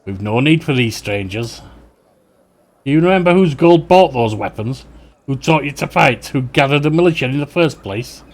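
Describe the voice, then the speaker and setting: A man's voice: mid-range voice, animated, normal speed. A man voicing a scornful, defiant rebel militia leader of a farming village.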